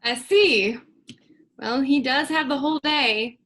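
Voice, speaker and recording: A woman reading a patient, gently teasing grown daughter in a staged play reading. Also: high voice, slow pace. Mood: gentle. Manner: soft, easygoing, gently teasing.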